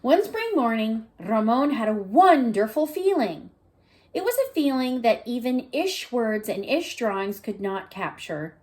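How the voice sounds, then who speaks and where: Female primary teacher for story time: slow, very lively delivery, savors words, invites listeners to think. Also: a little high. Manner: gentle questioning, prompting listeners to think. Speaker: teacher reading a picture book aloud. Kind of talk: expressive children's read-aloud with asides to listeners.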